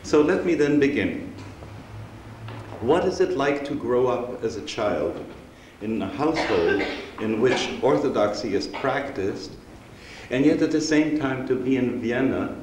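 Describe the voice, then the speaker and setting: A man in his sixties, raised in Austria, giving a measured, reflective public lecture as a rabbi from a stage. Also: mid-range voice, expressive, darkish tone. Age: 60s. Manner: measured, reflective, unhurried storytelling. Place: synagogue hall, seated on stage before an audience. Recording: roomy hall recording with faint hiss.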